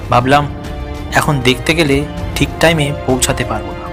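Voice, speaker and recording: A man narrating a scripted horror story in the first person, in a dramatic storytelling style. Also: on the high side.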